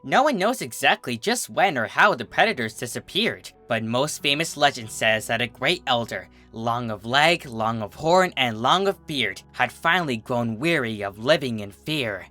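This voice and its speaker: Male narrator, bright and expressive, for theatrical fantasy storytelling with deliberate delivery. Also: medium pitch, faint music behind. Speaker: fan fiction reader, narrator. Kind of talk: dramatic scripted story narration.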